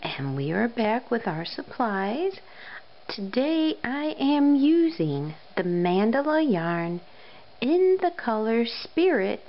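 A woman hosting a crochet how-to, her voice still a bit scratchy and hoarse after a cold, explaining things in a friendly, step-by-step way. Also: fairly high pitch, big pitch swings, slow pace, dull audio. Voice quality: slightly scratchy, recovering voice. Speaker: crochet tutorial host. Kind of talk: casual how-to narration.

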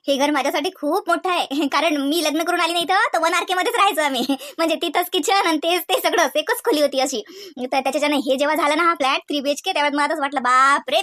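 A woman voicing a homely housewife hostess in a comedy cartoon, polite on the surface and privately sharp-tongued. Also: high-pitched, no room sound, clean studio sound. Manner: polite and chatty, with catty muttered asides. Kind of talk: exaggerated cartoon comedy dialogue.

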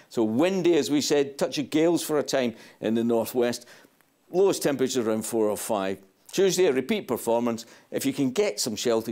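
A man's voice: medium pitch, darkish tone. A man in his fifties presenting a weather forecast in a chatty, conversational way from a studio. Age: 50s. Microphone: lapel mic.

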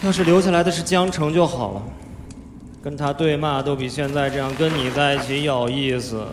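A man's voice: on the high side, fairly even intonation, background noise, natural voice. Man acting playful whiny young swordsman, echoey hall. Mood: bored, whiny. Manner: whiny, drawn-out, coaxing. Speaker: voice actor. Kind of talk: live audio drama stage performance. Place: large theatre stage, indoors. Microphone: handheld microphone. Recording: echoey large hall, music behind.

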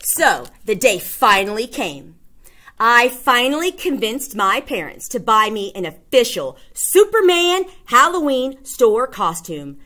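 A woman's voice: on the high side, very animated, slow pace, pushed and bright. A woman reading a children's picture book aloud, expressively and with emphatic delivery. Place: indoors, small classroom or office.

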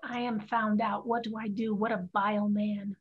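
A woman's voice: medium pitch, flat delivery, moderate pace, husky, natural voice. A woman playing a defiant fifteen-year-old girl raised as a boy, in an earnest staged play reading. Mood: distressed, defiant. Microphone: video call. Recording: dull video call audio.

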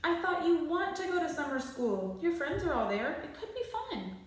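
Woman as a brisk, practical storybook mother. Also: extremely high pitch, very animated, pitched above the performer's own voice. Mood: coaxing. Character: patient, practical mother of a dramatic boy. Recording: very echoey small bare room.

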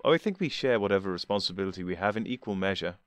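A calm, dispassionate older man, as a male reader plays him, answering in cool, measured tones with a dry, teasing edge. His voice sits low, and the recording is close and dry. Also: no room sound.

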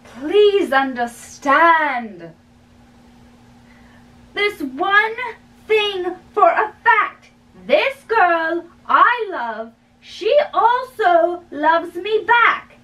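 A young woman playing a lovesick, earnest young man, impatient and pleading, in a halting dramatic play reading in a bedroom. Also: very high-pitched, lively intonation, pushed and bright, pitched above the performer's own voice, full character voice. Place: small bedroom, indoors. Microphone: camera a few feet away. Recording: distant mic in a bedroom.

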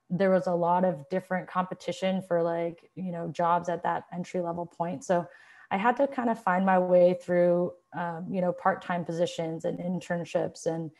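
A woman's voice: fairly low pitch, darkish tone. Chatty woman on a video call. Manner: chatty, lots of "like" and "you know". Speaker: panelist. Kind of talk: casual career talk on a panel. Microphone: earphone headset mic on a video call.

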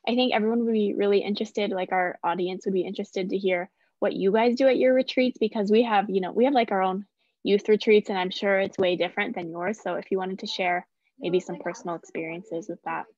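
A woman's voice: medium pitch, steady tone, brisk, a little mellow, no echo. A young woman casually putting questions as an interviewer, over dull video call audio. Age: young adult. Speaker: interviewer, editor. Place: indoor room on a video call.

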